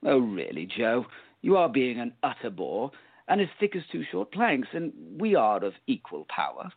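Man acting a creaky, smug gentleman villain. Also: medium pitch, sing-song, moderate pace, no echo, narrow, tinny audio. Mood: sneering, bored. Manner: drawling, sarcastic, haughty. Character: smug, bored gentleman villain, suave time meddler. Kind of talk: radio play acting.

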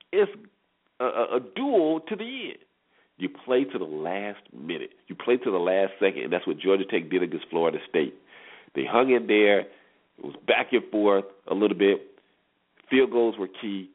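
An American man hosting a sports show, giving emphatic commentary and repeating phrases for effect, on a dull recording. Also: mid-range voice. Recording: dull, lo-fi band-limited sound.

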